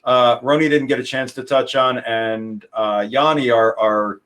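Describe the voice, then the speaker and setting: A man's voice: medium pitch, fairly even intonation, measured pace, a bit bright. A man hosting a conference over a video call, speaking earnestly and invitingly and often repeating words as he goes. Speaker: conference host. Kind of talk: casual conference talk.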